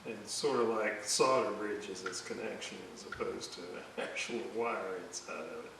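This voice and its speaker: A man giving casual hands-on repair commentary, thinking aloud in a hesitant, halting way as he works. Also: fairly low pitch. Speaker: electronics restorer narrating a repair video. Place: indoor room at a workbench. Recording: very echoey room, distant mic, clear hiss.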